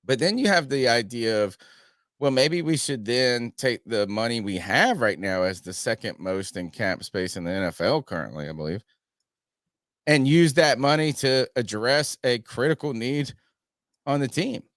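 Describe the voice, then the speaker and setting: A man's voice: medium pitch, animated, moderate pace, a bit bright, no echo, clean studio sound. A man hosting a casual sports podcast, rambling through his points and swearing freely. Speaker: podcast host.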